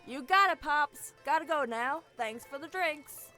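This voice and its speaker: A woman's voice: high voice, animated, measured pace, sharp tone, soft background music, heavily acted. A woman acting a young elf assassin in a fantasy audio drama, charming and sweetly feigning innocence. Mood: sly, frank. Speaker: voice actor in an audio roleplay.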